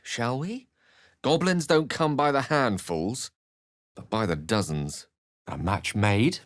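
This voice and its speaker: An actor playing an erudite, urbane wizard with a dry wit and a theatrical, articulate way of speaking in a video game. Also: male voice, lowish voice, fairly bright tone. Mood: urbane, measured. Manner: theatrical, articulate, formal wording. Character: eloquent, erudite male wizard, urbane and dryly witty. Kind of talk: video game character dialogue.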